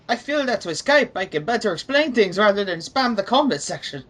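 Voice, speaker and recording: A woman's voice: mid-range voice, very animated, normal speed, fairly bright tone. Woman reading messages out formally. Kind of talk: dramatic reading of written messages amid casual group chat.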